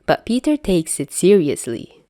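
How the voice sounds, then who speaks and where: Woman reading a fanfic style story, soft mellow tone, takes her time. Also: lowish voice, sing-song, unhurried, dry recording, studio quality. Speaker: podfic narrator. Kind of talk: scripted story narration.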